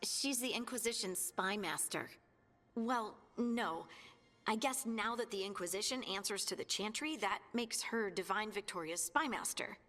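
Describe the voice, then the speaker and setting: A woman's voice: medium pitch, moderate pace, fairly bright tone, lightly acted. A woman playing an earnest, anxious companion in a video game, often correcting herself as she talks. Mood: worried, self-reassuring. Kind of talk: video game dialogue acting. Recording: long reverberant hall, music underneath. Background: game music.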